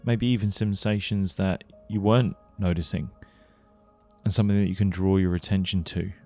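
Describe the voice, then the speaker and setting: A man leading a guided meditation, giving gentle, unhurried instructions with pauses. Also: low-pitched, steady tone. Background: music.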